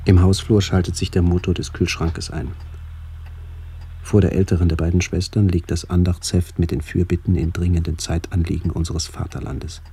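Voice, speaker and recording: An actor playing a brooding, melancholy middle-aged man who narrates a literary radio play in a measured, introspective way. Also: deep voice, level tone, mellow, breathy edge, full character voice. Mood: contemplative. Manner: measured, introspective, poetic delivery.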